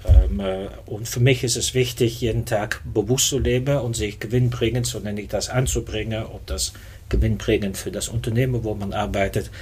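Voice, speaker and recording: A man in his fifties with a Dutch accent, a podcast guest talking in a reflective way and often pausing to find his words. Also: lowish voice, a little mellow, slightly rough. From Netherlands. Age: 50s. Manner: reflective, searches for words with many fillers. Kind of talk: casual interview talk.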